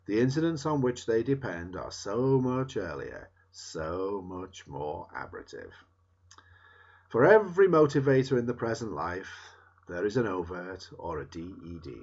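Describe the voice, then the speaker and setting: Male book reader, slightly husky, very animated intonation, deliberate emphatic phrasing, muffled low-fidelity sound. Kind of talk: reading a book aloud. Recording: dull, lo-fi sound.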